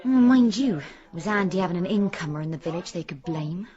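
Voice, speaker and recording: An actress playing a blunt, confiding working-class village wife in a radio drama. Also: female voice, fairly low pitch, lively intonation, fairly quick, highs cut off, a crowd in the background, lightly acted. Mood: blunt, weary.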